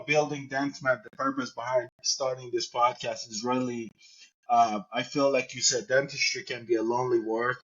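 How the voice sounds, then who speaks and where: A man hosting a podcast, talking in a relaxed, friendly way with lots of "you know". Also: medium pitch, breathy edge. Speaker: podcast host. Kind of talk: casual interview chat. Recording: dull lo-fi sound.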